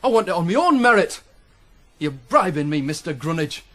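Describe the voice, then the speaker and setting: An actor playing a proud, gruff farm wagoner with a blunt, needling manner in a 1980s radio drama. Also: male voice, a little high, sing-song, normal speed, a bit bright. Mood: indignant, grumbling.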